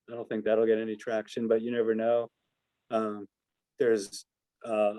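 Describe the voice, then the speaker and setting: A man's voice: fairly low pitch, warm tone, dry recording, low-quality recording. An American man giving informal analysis as an investment adviser answering questions on a call, hesitant and hedging as he thinks aloud.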